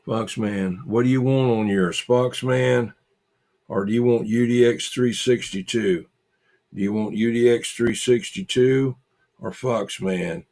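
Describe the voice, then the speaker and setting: A man hosting a casual livestream, chatting with viewers in a halting, repetitive way and muttering asides to himself. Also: medium pitch, darkish tone. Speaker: livestream host.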